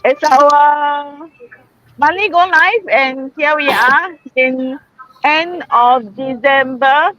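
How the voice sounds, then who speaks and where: Singapore woman hosting a live, high voice, chatty and laughy, dry close sound. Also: drawn-out words, sharp tone, no echo, rough recording. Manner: chatty and laughing, with many fillers and restarts. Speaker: host of a group live stream. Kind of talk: casual live-stream chat. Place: out in a busy shopping mall.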